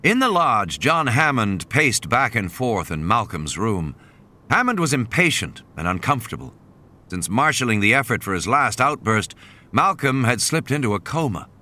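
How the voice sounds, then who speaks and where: A man's voice: on the low side, sharp tone. A man narrating a novel as a scripted dramatic audiobook. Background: music and sound effects.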